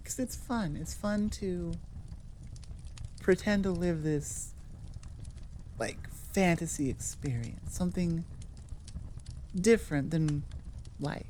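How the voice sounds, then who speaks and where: A woman's voice: lowish voice, slow, pauses often, mellow, slight background noise. A woman hosting her own channel, chatting casually to listeners in a rambling, playful, self-correcting way. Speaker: ASMR creator, channel host. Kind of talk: casual chat outro to listeners.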